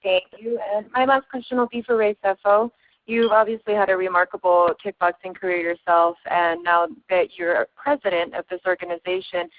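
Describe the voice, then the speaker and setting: A woman's voice: lowish voice, phone-quality sound, slight background noise. A woman sports reporter asking prepared interview questions over a phone conference line.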